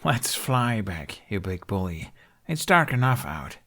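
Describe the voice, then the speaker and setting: A man voicing a scrawny teenage boy who rides dragons, playful and grumbling, with a halting, whiny delivery, in an audiobook. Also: on the low side, very animated, pushed and bright, heavily acted. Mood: teasing complaint.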